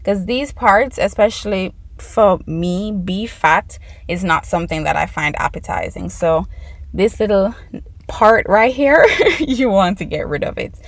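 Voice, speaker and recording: A woman's voice: medium pitch, animated, a little slow, no room sound. A woman with a Jamaican accent hosting a casual cooking tutorial in her home kitchen, chatting and explaining each step to her viewers. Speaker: cooking channel host.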